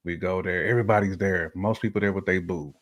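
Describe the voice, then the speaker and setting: A man's voice: sits low, steady tone, fast, heavy background noise. An American man chatting casually on a relationship podcast, using slang and repeating phrases for emphasis.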